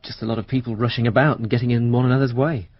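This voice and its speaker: Radio drama casting: man as teenage student, slangy, guarded, fond of gossip, husky, slightly lo-fi sound. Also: medium pitch, fairly even intonation, very fast, no echo, lightly acted. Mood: gossipy. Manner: informal, offhand, slangy. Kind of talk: radio mystery drama acting. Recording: dull, lo-fi radio drama recording.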